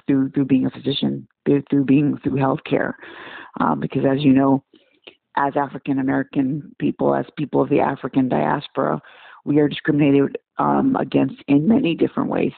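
Gravelly guy in his 40s from congo, doctor on a podcast, earnest, repeats himself finding words, tinny phone sound. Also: mid-range voice, monotone, moderate pace, dry recording. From Democratic Republic of Congo. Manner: earnest, repeats words while searching for phrasing. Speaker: emergency physician guest. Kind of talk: podcast interview answers. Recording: dry, tinny phone-like call line.

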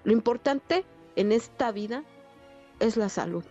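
A woman's voice: a little high, animated, a little slow, dark tone, highs cut off, soft background music. A Mexican woman in her forties telling her personal story as a guest in a casual interview. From State of Mexico, Mexico. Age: 40s. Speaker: interview guest, online creator.

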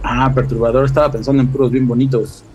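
A man's voice: medium pitch, flat delivery, quick pace, a little mellow, slight background noise, poor audio. A man with a Mexican accent chatting casually as a podcast guest, colloquial and slangy. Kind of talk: casual chat.

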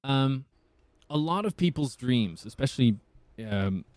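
A man from South Africa, an actor chatting casually on a podcast with a husky, soothing voice and a thoughtful manner. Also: mid-range voice, lively intonation, measured pace, a little mellow, no room sound.

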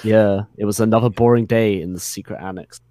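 A man's voice: lowish voice, fairly even intonation, brisk, a loud crowd. A man narrating a comic dramatic reading of fan fiction with mock solemnity.

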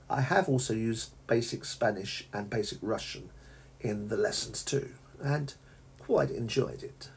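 A man hosting a podcast, recounting his teacher-training work in a measured way with frequent restarts and self-corrections, his throat dry. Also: medium pitch, a little mellow. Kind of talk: reflective solo podcast monologue.

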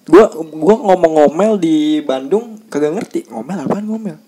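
Young man, animated, casual group chat, slightly high voice. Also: moderate pace. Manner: animated, bursts of laughter.

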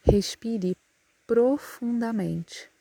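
A woman with a Brazilian Portuguese accent leads a guided yoga nidra meditation, giving calm, soothing instructions with long pauses. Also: fairly high pitch, gravelly, husky, no room sound, poor audio.

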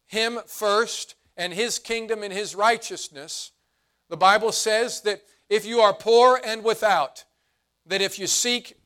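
A man preaching a sermon as pastor, his voice hoarse and fading, earnest, slowing down for emphasis. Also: high-pitched, fairly bright tone. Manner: earnest preaching, slows and drops to emphasize points.

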